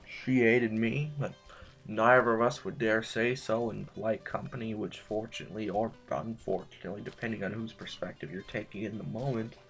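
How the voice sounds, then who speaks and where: A man's voice: on the low side, steady tone, moderate pace, fairly bright tone, faint music behind, poor audio. A man playing an anxious, wordy duke in an audio drama, speaking in formal, hesitant, ornate phrases. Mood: bitter, emphatic.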